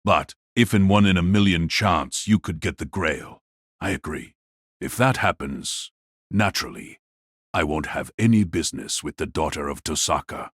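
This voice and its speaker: Casting: ancient villainous mage, extremely low raspy voice, gloating and sinister, dramatised audiobook. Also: male voice, fairly bright tone, no room sound, studio quality. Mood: condescending, calculating. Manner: slow, sinister, condescending. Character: wicked old male magus patriarch, cold, mocking, gloating.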